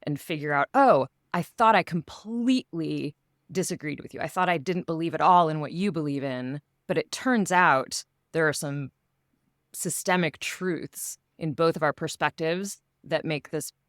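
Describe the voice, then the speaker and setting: A woman with a low, resonant voice gives articulate, conversational interview answers. Her tone is smooth and clear. She is close on a studio mic in a dry booth. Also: normal speed, no room sound. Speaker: voice actor, interview guest. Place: home recording booth. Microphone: studio condenser mic.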